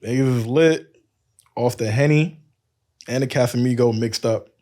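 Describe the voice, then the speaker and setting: A man with a deep voice and a lisp, telling loose stories on a casual podcast and acting out quoted lines. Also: normal speed, a little mellow. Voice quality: says he has a lisp. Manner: loose storytelling, acts out quotes, laughs often. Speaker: podcast cohost.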